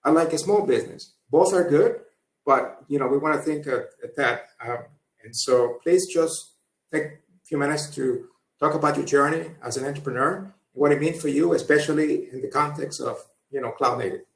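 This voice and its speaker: Halting man moderating from far off. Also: fairly high pitch, vocal fry. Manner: halting, many fillers and restarts. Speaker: panel moderator. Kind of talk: hesitant panel introduction. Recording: echoey room, far from mic.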